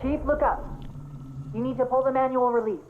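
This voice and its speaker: Woman playing a high-voiced, alert AI companion. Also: steady tone, a little fast, narrow, tinny audio, very noisy, close to an everyday voice. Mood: urgent, concerned. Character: female AI companion, alert, wry, caring. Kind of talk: video game voice acting. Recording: game soundtrack music and effects behind.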